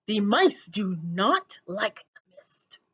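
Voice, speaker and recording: An eccentric old man from a children's storybook, acted out by a woman reader. He speaks slowly and haltingly, with secretive emphasis and big swings in pitch. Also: very animated, fairly bright tone, slightly rough, exaggerated voice. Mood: secretive, ominous. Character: crazy old man upstairs, mysterious mustached mouse-keeper.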